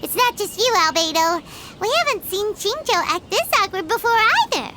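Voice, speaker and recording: A woman voicing a tiny, excitable childlike fairy companion in a video game, squeaky and given to exclaiming. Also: normal speed, heavy background noise. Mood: teasing, chatty. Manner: exclamatory, speaks of self in third person.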